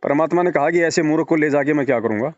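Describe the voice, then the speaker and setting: Argumentative higher-voiced man. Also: quick pace, no room sound. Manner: argumentative, rhetorical questions, repetitive emphasis. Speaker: religious devotee speaking to a follower. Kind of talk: persuasive phone conversation. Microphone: phone call. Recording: dull lo-fi phone call recording.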